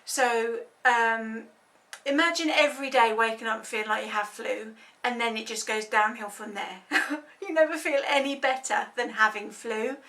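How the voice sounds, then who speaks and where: A woman's voice: fairly high pitch, normal speed, pushed and bright, distant mic. A woman in her thirties speaking as a health advocate, explaining an illness in an earnest, conversational way with many hesitations. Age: 30s. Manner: earnest, conversational with frequent ums. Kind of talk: informal explainer talk.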